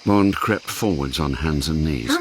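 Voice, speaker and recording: A man's voice: very deep voice, animated, a little slow, heavy background noise. A man giving dramatic scripted narration of an action story for radio.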